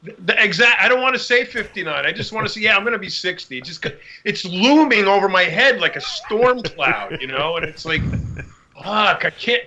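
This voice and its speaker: Sharp, high-voiced rambling New man. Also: animated, normal speed. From New York. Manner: rambling, self-deprecating chat. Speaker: podcast co-host. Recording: slightly muffled lo-fi sound.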